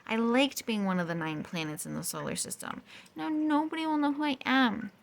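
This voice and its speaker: A woman voicing a small, proud male dwarf planet with a hot temper, indignant and shouting in a children's storybook. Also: on the high side, a little fast, vocal fry, a little hiss or hum, voice pushed up. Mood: demanding.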